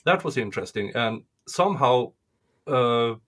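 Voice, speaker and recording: Slow, unhurried man chatting. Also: mid-range voice, lively intonation, a bit bright. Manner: unhurried, thoughtful, with many fillers. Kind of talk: casual chat on a stream.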